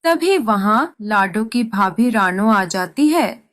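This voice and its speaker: A woman's voice: mid-range voice, expressive, slow, fairly bright tone, dry recording, studio quality. A woman narrator reading a scripted moral story for a cartoon.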